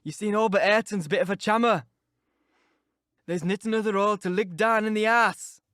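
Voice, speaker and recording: Brief: man reading as old Yorkshire manservant, scolding, pious, loud and higher-pitched, slow broad drawl, timbre shifted. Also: steady tone, dry recording, studio quality. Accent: Yorkshire dialect. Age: older. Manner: scolding and grumbling. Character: grumbling pious old male servant, scolding.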